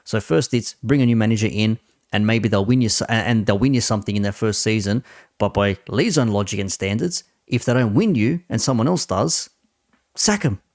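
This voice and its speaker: Low, creaky-voiced man giving sarcastic commentary. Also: brisk, darkish tone, no room sound, clean studio sound. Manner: sarcastic, rhetorical, repetitive. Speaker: host giving football opinion. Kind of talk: off-the-cuff football commentary.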